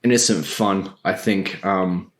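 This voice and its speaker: A man with a fairly low voice talks casually with friends on a panel. He rambles along in slang and gives his opinions bluntly. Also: steady tone, takes it slowly. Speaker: podcast panelist. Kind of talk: casual group chat.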